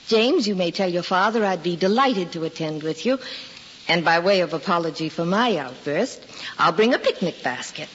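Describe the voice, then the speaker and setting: A woman's voice: fairly low pitch, lively intonation, normal speed, fairly bright tone. A woman playing a gracious, proper young lady schoolteacher, genteel and polite, in an old-time radio drama. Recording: muffled old radio recording, reverberant, hissy.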